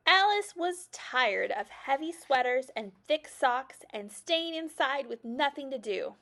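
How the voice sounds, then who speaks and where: A woman reading a children's picture book aloud in an expressive storytelling style. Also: sits high, unhurried.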